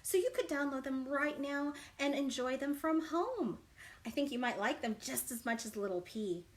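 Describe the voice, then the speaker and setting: A woman's voice: sits high, normal speed. A woman working as a children's librarian, leading storytime with an exaggerated, encouraging manner and questions to young listeners. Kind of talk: animated children's storytime read-aloud and chat.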